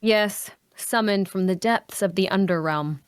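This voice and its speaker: A woman's voice: medium pitch, measured pace, clean tone, dry recording, studio quality, lightly acted. A woman playing a solemn, pious adventurer who declaims with righteous conviction. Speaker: actor at a table read.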